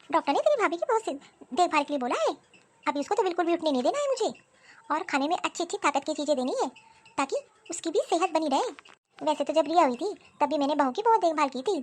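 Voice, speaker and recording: A woman voicing a doting, gently scolding mother-in-law and new grandmother in an animated family drama. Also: very high voice.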